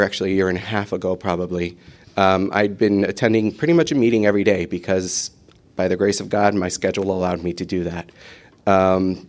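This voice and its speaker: A man with a fairly low voice speaks on a conference panel, telling his own recovery story in a loose, unscripted way. He stops often with "um" and "uh," breaks off words and starts again. It sounds like a meeting room at a conference. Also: darkish tone. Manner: halting, many fillers and restarts.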